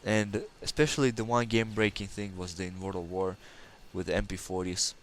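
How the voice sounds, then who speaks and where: A man's voice: deep voice, fairly even intonation, moderate pace. A man from Kazakhstan, a native Russian speaker with a slight Russian accent, giving rambling, hesitant gameplay commentary full of fillers. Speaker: gaming channel host.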